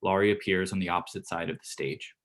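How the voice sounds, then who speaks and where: Male narrator for stage directions, deep voice, scripted delivery. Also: steady tone, fast.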